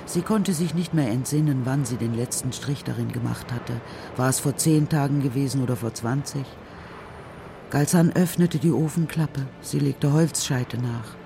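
A narrator reading a children's radio drama story in a measured, serious, literary style. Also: mid-range voice, vocal fry, dark tone, slight background noise.

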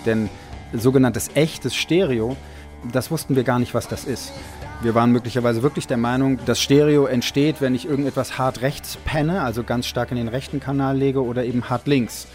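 A man with a medium-pitched voice speaks as an interviewee, faint music behind him. His delivery is reflective and halting, with self-corrections. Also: steady tone, darkish tone.

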